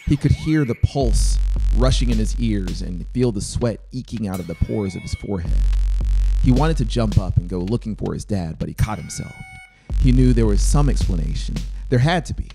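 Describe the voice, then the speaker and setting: A low-voiced man reads a story with measured, expressive delivery. His voice has a gravelly edge, and music plays clearly behind him as he speaks close to the microphone. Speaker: audiobook narrator. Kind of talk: scripted dramatic fiction narration. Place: small brick-walled home studio. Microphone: close handheld-style mic on boom stand.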